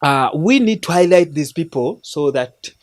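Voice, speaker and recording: A man's voice: a little high, animated, moderate pace. A man from Uganda, hosting a film recommendation show in an earnest, preacherly way, often restarting his words. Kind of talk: casual spoken film review.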